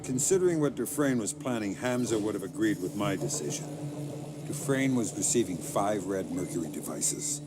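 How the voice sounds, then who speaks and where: A man playing a hardened middle-aged undercover spy in a video game, terse, grim and deadpan. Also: low voice, lightly acted. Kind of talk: dramatic video game acting. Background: loud music.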